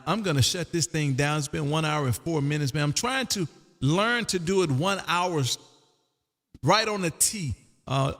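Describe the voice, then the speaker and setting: Higher-voiced man with long reverb. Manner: curious, chatty, informal. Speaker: livestream host, amateur radio operator. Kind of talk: casual radio chat. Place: radio room.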